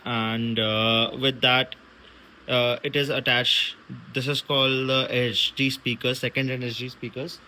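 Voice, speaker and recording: A man giving casual, halting commentary as a vlogger unboxing a product. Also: medium pitch, little pitch movement, pushed and bright, a little hiss or hum, rough recording.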